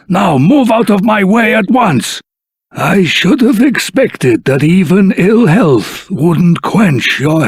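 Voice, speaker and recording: An actor voicing a dignified elder of a dragon race in human form, speaking in a formal, courteous, earnest and husky voice for a fantasy video game. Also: male voice, on the high side, no echo, clean studio sound, heavily acted.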